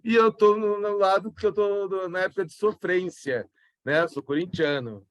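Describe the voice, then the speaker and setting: A man in his fifties from São Paulo with a slight gaúcho accent, a forensic expert guest recovering from a cold and talking in a relaxed, rambling way. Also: high-pitched, normal speed, no echo. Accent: slight southern Brazilian gaúcho. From São Paulo, Brazil. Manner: relaxed, rambling, with frequent restarts. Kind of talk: casual interview talk.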